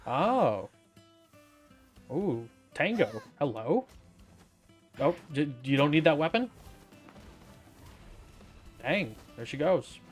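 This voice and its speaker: A man's voice: a little high, sing-song, slow, pauses often, breathy edge, faint music behind. A man giving casual gameplay commentary as a streamer, with wry, offhand, muttered asides. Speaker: streamer playing a game.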